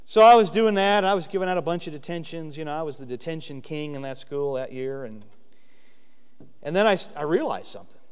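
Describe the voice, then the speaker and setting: A man with a loud voice giving an earnest Bible lesson in sermon style, stressing key words slowly. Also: on the high side, animated, clean tone, big-room sound. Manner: earnest, emphatic with slow stressed phrases. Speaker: Bible teacher.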